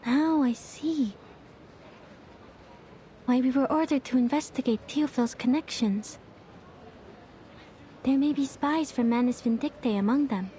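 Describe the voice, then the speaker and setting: High, soft-toned inquisitive investigator woman. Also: unhurried, long pauses, slight background noise. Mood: thoughtful, puzzled. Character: thoughtful, inquisitive young female investigator. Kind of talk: scripted game cutscene dialogue.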